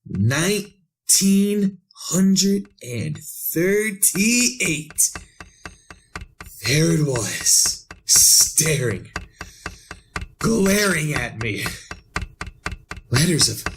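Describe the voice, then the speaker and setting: An actor playing a half-mad man telling of his own doom in a theatrical, halting, repetitive 1930s radio horror style. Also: on the high side, sing-song, slight background noise, exaggerated voice. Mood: feverish excitement. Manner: halting, repetitive, theatrical delivery with self-questioning.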